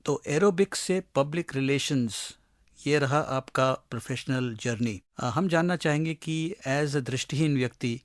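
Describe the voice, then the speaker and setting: A man's voice: medium pitch, measured pace, no echo. Formal man interviewing in a dry studio. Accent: Indian. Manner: formal, mixes in English phrases. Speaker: radio interviewer. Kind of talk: prepared interview questions.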